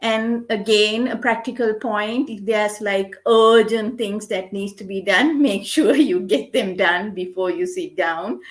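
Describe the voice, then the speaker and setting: A middle-aged woman giving a gentle, earnest guest lecture on meditation, repeating her key points. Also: medium pitch, unhurried, few pauses. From Sri Lanka. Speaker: guest lecturer, medical doctor.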